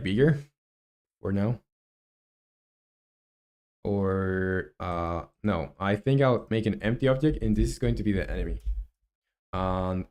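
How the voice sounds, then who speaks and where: A man who speaks Spanish natively, talking casually on a live game development stream, thinking aloud in a halting, hesitant way and reading out chat. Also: fairly low pitch, a little mellow.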